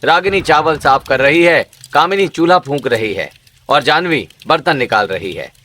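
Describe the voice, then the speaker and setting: A man's voice: fairly high pitch, animated, normal speed, bright, forceful tone. A man narrating a scripted cartoon story as a storyteller.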